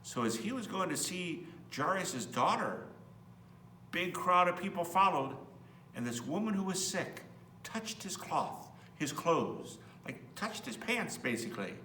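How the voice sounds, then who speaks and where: A man's voice: high-pitched, sing-song, a little slow, pushed and bright. A man reading a children's Bible story aloud as a priest, expressively, with simple asides to young listeners. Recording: roomy, distant mic, faint hum.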